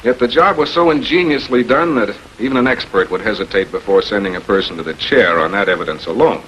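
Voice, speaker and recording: An American actor playing a shrewd public prosecutor in 1940s television crime drama style, questioning in a deliberate, authoritative way. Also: male voice, on the low side, dull audio, natural voice. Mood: pressing, accusing. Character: shrewd, composed male public prosecutor confronting murder suspects. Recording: noisy 1940s film soundtrack.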